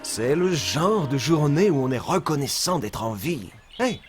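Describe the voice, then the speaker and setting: Kind young lion, highish voice, really animated, teaching little kids in a cartoon. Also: male voice, unhurried, loud music behind the voice. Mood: cheerful. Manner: expressive, gently instructive. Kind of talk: French children's cartoon voice acting.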